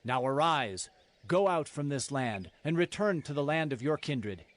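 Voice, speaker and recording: A man's voice: a little high, lively intonation, a little slow. A man playing an earnest, aggrieved husband and herdsman, declaiming in a dramatized scripture audio. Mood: solemn, recounting.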